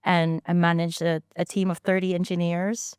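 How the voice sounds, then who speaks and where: A woman in her thirties talks through her story in a relaxed interview, looping back with restarts and fillers as she goes. Her voice sits fairly low and sounds smooth and clear. The sound is close and dry. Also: moderate pace, dry recording. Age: 30s. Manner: chatty, many restarts and fillers, laughs easily. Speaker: founder and guest.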